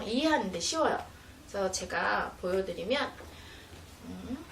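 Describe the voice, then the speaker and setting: A woman with a fairly high, lively voice walks parents through teaching materials step by step. Her delivery is informal and patient. She is recorded a little away from the mic in an echoey room. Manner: patient, step-by-step, acts out mother-child example dialogue. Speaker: educator presenting teaching materials. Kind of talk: informal explanatory product demonstration for parents. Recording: roomy, distant mic, faint noise.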